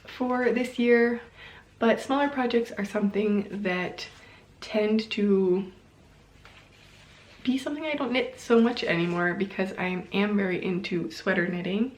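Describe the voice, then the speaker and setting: A woman vlogger chatting casually to camera, thinking aloud in a rambling way and correcting herself as she goes, with a creaky voice. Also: on the high side, a little slow. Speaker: knitting vlogger and host. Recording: roomy, echoing indoor sound.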